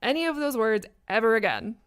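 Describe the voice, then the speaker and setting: A woman's voice: a little high, expressive, brisk, fairly bright tone, clean tone. A young woman with an American accent playing an earnest, long-suffering best friend in an audio drama, dry and fond. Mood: exasperated, teasing. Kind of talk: naturalistic audio drama acting.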